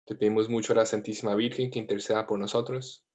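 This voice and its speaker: A priest in his thirties with a mixed Latin American and Spanish accent, praying and then talking gently and informally over a video call. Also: on the low side, little pitch movement, quick pace. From United States, family from Colombia. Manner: gentle, informal, with frequent fillers.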